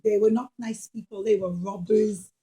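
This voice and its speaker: A woman's voice: medium pitch, fairly even intonation, moderate pace, dark tone. A woman storytelling for children at a puppet show, speaking in simple, emphatic phrases and addressing the young listeners directly. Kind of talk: animated storytelling for children, asking questions.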